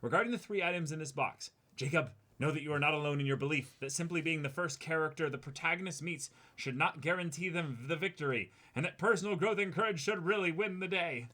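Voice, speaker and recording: A young man co-hosting an unboxing video, chatting casually and reading aloud with an exclamatory, animated delivery. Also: fairly high pitch, quick pace, hardly any pauses. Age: young adult. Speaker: co-host of an unboxing show. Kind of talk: casual excited chat with reading a letter aloud. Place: indoor room, seated before a backdrop.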